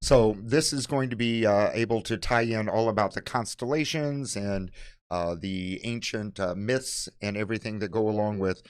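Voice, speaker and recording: A man giving an unscripted product presentation as a presenter of library kits, speaking in a halting way with many fillers. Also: lowish voice, clear, resonant tone, dry recording, studio quality. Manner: halting, filler-heavy delivery.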